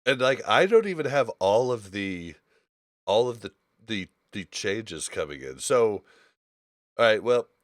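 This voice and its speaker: A man's voice: lowish voice, sing-song, unhurried, no echo, clean studio sound. A man hosting a casual gaming podcast, explaining things in an informal, rambling way. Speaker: podcast host.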